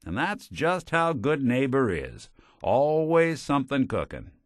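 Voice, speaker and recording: An actor playing a world-weary, wry robot private detective in a video game, with a clipped hard-boiled gumshoe delivery. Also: male voice, medium pitch, very animated, a little slow, no room sound, studio quality. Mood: wry, steady. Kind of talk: scripted game character voice lines.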